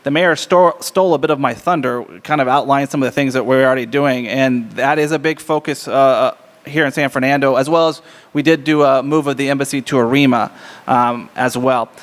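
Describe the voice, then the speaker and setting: A man with a booming American accent giving a candid, informal public address as a diplomat. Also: fairly quick. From United States. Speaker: embassy diplomat, guest speaker. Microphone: lectern microphone. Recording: echoey reverberant hall.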